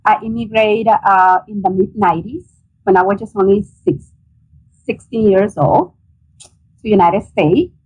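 A woman's voice: mid-range voice, measured pace, pauses often, slightly rough, narrow, tinny audio. A woman in her forties from El Salvador with a Salvadoran accent, answering interview questions earnestly with many ums over a video call. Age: 40s. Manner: earnest, hesitant with frequent ums. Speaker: guest, nonprofit director.

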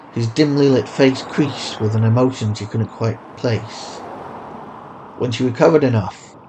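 A man's voice: mid-range voice, a little mellow, a little hiss or hum, rough recording. A man reading scripted fiction as a story narrator.

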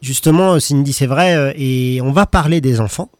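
A man's voice: medium pitch, normal speed, no echo, clean studio sound. A man hosting a radio program, steering the conversation and introducing songs. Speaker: radio host. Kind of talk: casual radio interview.

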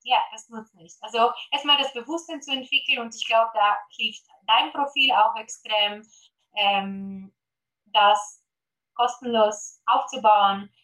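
Polish-accented woman, bright and eager. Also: on the high side, steady tone, a little slow, rough recording. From Poland. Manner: eager, many fillers, promotional. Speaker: guest, gut health coach. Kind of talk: podcast interview.

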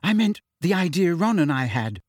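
Male reader doing a keen, bossy schoolgirl witch, raised pitch, dry close sound. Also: big pitch swings, moderate pace, no room sound. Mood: earnest. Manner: eager, lecturing. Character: earnest, bossy teenage girl student witch.

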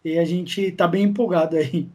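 A man's voice: sits high, steady tone, moderate pace, darkish tone. A Brazilian man speaking as a podcast guest in casual, conversational style. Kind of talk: casual chat.

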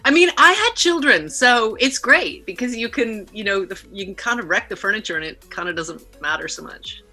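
A middle-aged woman from Canada, a guest chatting casually on a video call podcast in a lively, animated way. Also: mid-range voice, brisk, soft background music. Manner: lively, laughing, animated storyteller. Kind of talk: casual interview chat.

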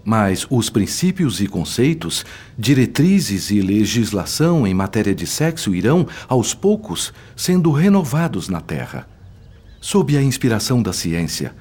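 An actor with a Brazilian accent playing a learned, assured spirit teacher giving an earnest exposition in a radio drama. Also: male voice, medium pitch, darkish tone. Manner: oratorical, expository. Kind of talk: radio novela acting.

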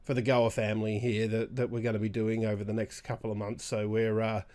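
A man co-hosting a weekly gaming show, a little raspy after being sick, chatting casually with lots of restarts. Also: on the low side, flat delivery, darkish tone. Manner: halting, many restarts and fillers. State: slightly raspy from recent illness. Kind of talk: casual chat.

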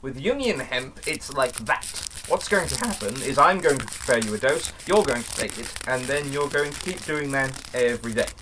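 A man playing an earnest, cryptic occult investigator and mentor in an audio drama, explaining things with slightly awkward, halting restarts. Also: medium pitch, animated, background noise, close to an everyday voice.

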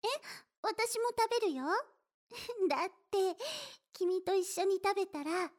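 A woman voice actor playing an affectionate, teasing older-sister type young woman in a Japanese situation voice drama, speaking gently and playfully to the listener. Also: very high-pitched, lively intonation, a little slow. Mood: sweet, bashful. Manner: gentle, coaxing, playful, addressing listener softly.

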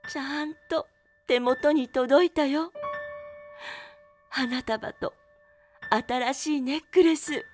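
An actress playing a tender, grieving young widow with emotional, sincere delivery in an audio drama. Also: female voice, high-pitched, slow pace, long pauses, faint music behind. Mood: tearful, tender.

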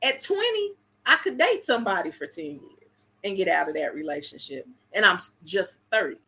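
A woman's voice: medium pitch, very animated, normal speed, slightly rough. A woman, co-hosting a casual chat, blunt and emphatic as she talks. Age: 40s. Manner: blunt, emphatic, laughs loudly. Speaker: co-host.

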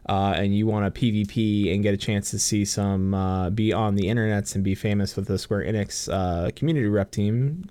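A man's voice: fairly low pitch, a little mellow, clear, resonant tone, no echo. A young man co-hosting a casual gaming podcast over a voice call. He giggles a lot, swears freely and uses many fillers. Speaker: podcast co-host. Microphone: computer mic on voice call.

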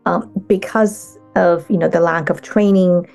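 A woman speaking as a guest coach in a casual interview, talking in halting bursts with many restarts. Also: on the low side, steady tone, creaky, darkish tone, faint music behind.

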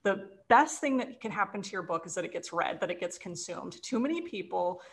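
A woman's voice: mid-range voice, animated, brisk, vocal fry, a little hiss or hum. A woman giving advice in a casual conversation as an expert guest, emphatic and often answering her own questions. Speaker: guest, sales author and publisher giving advice.

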